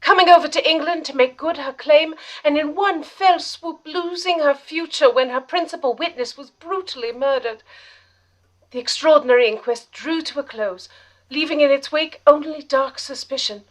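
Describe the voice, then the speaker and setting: Woman as sympathetic sidekick narrating an old detective radio play, high voice, dramatic delivery. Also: moderate pace, natural voice, low-quality recording.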